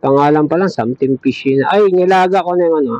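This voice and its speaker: A man's voice: on the high side, quick pace, darkish tone, no room sound, muffled recording. A man with a Filipino accent in casual banter, talking into a helmet intercom headset as a vlogger.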